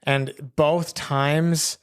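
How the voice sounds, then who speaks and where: A man's voice: a little high, flat delivery, drawn-out words, clean tone. An American man co-hosting a podcast in a casual, conversational style. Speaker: podcast co-host. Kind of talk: casual chat.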